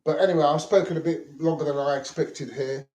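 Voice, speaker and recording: A middle-aged man, originally from Lebanon, rants in a rambling monologue. He repeats himself and swears freely, and his voice sits a little high for a man. The sound is roomy and echoey. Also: steady tone, a little fast, a little mellow. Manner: agitated, repetitive, swears. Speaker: vlogger. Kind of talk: rambling personal monologue to camera. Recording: echoey room.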